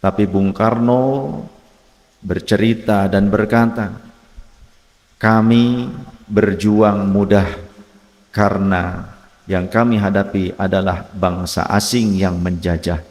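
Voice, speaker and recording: A male preacher with an Indonesian accent and a fairly low voice. He delivers patriotic religious oratory in deliberate, repeated rhetorical phrases. The sound is very echoey, with a long hall reverb trailing his words. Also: fairly even intonation, a little mellow.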